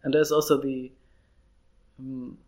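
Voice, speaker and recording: A man's voice: mid-range voice, little pitch movement, takes it slowly, a little mellow. Rambling, easygoing man. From Austria. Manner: rambling, restarts often, laughs easily. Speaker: illustrator, interview guest. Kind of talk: casual interview chat.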